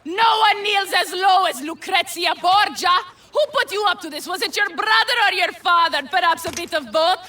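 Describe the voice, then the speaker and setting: A woman's voice: very high voice, normal speed, hardly any pauses, far from the mic. A woman playing a defiant, scornful captive noblewoman, impassioned and theatrical in a video game. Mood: mocking. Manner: mocking, impassioned shouting.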